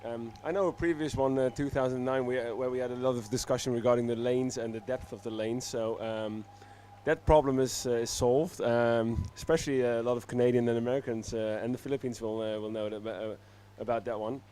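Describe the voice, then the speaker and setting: A man's voice: medium pitch, steady tone, fairly quick, hardly any pauses, warm tone, a little hiss or hum. A man speaking as a studio guest in a casual live interview, easygoing, feeling out his phrases piece by piece.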